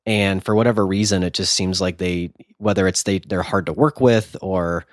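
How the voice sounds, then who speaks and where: Man, lowish voice, getting over a cold, jokey banter, dry close mic. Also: fairly even intonation, no room sound. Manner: quick jokey banter, filler words. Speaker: podcast co-host. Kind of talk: casual chat.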